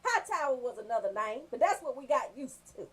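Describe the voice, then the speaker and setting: A woman with a Southern Atlanta accent hosting comedic radio banter, telling stories in an animated, emphatic way. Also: high-pitched, fairly quick, slightly rough. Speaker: radio show host.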